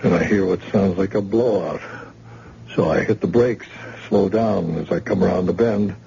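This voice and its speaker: An actor playing a wounded man who saw a shooting, halting and hesitant, in an old radio drama. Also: low voice, gravelly, warm tone. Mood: shaken. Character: wounded witness man, shaken and scared. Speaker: shot crime witness in hospital. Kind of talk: vintage radio drama acting. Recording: dull lo-fi old radio recording with faint hiss.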